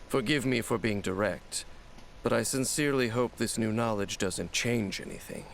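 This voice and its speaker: A man playing a grave, guarded wandering warrior in an anime game, speaking in a formal, measured, solemn way. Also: medium pitch, creaky, slight background noise, close to an everyday voice. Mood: solemn, cryptic.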